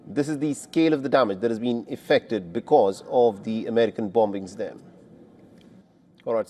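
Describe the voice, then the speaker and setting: A male news anchor with a mid-range voice reads breaking news in a measured, clear way, then moves into questioning a remote guest. His delivery stays steady and composed. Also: medium pitch. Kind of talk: live breaking news presenting.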